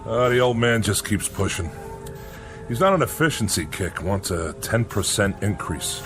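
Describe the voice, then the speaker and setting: An actor playing an easygoing plant manager, wry and placating, in a radio drama. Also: male voice, expressive, normal speed, music in the background, lightly acted. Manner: low-key, placating, wry. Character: easygoing male plant manager relaying boss's demands.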